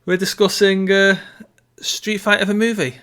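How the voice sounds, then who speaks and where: A man's voice: sits high, flat delivery, moderate pace, sharp tone, smooth. A man co-hosting a podcast, talking casually about a film. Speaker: podcast co-host.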